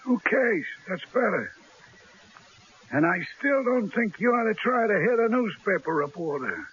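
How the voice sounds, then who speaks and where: High, very raspy henchman. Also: sing-song, a little slow, a bit bright, heavily acted. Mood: placating. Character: timid, cautious male gangster henchman, placating his boss. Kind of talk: radio drama acting. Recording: muffled old radio broadcast.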